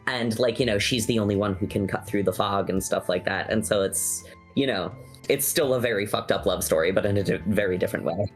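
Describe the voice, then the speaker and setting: A man's voice: on the low side, a little fast. A man talking casually in a group discussion, analytical and thoughtful, wandering through asides as he reasons. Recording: background music under the voice.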